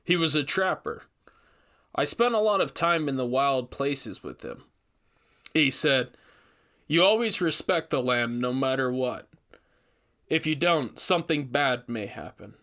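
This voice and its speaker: A man's voice: medium pitch, animated, unhurried, long pauses, bright, forceful tone. A man voicing an earnest, troubled young forest ranger in a storybook reading, thoughtful and hesitant. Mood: haunted, earnest.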